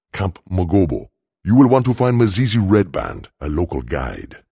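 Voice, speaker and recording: A solemn elder healer from a fantasy video game, with a very deep voice full of gravel. He speaks formally and deliberately, like a counselor, yet his pitch rises and falls widely. The sound is close and dry. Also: dry recording, exaggerated voice. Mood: approving, counseling. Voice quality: gravelly, husky. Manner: measured, formal, instructive. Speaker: quest-giving game character. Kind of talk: scripted video game character dialogue.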